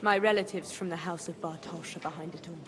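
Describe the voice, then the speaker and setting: Actress as shrewd widowed noblewoman for a medieval game: lowish voice, composed, dry, wry, faint noise behind. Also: steady tone, fast talker, close to an everyday voice. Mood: businesslike. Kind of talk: video game dialogue acting.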